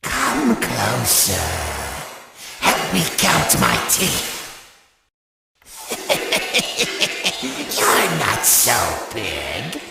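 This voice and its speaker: A hungry nightmare bear taunts its prey in a heavily gravelly voice that lurches up and down. It speaks very slowly, wrapped in a long, echoing reverb. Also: female voice, rumbling low, wildly swooping pitch, heavily acted. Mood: taunting. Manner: taunting with cackling laughs. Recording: reverberant hall effect.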